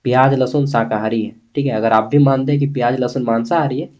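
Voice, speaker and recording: A man's voice: medium pitch, steady tone, quick pace, a little mellow, dull audio. A man with a Bihar-Jharkhand accent from eastern India, giving an informal exam-review lesson as a blunt, opinionated teacher who keeps checking with listeners. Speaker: history teacher.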